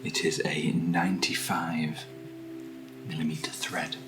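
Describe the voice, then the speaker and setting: A British man speaking softly and breathily in casual ASMR style, unhurried and gently rambling as he hosts. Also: rumbling low, little pitch movement. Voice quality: breathy, whispery. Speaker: host of ASMR unboxing videos. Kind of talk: soft-spoken ASMR, casual chat. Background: underlying music.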